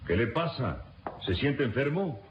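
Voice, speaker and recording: An actor playing a prison guard in an old-fashioned radio drama, theatrical and pleading. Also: male voice, mid-range voice, very animated, a little slow, slight background noise. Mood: courteous, uneasy. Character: frightened prison guard, polite turning to panicked pleading. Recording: dull lo-fi echoey recording, music behind.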